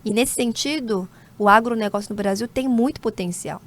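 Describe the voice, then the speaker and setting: A woman in her forties from South Korea, a corporate lawyer giving an interview at a measured pace with frequent hesitations. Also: fairly high pitch. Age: 40s. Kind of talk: conversational interview.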